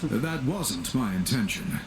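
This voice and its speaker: Respectful, dutiful male chief for anime game, mid-pitched, formal delivery with animated intonation. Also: noisy background. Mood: respectful, earnest. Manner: formal, deferential, measured. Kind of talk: anime game dialogue acting. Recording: echoey. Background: game music.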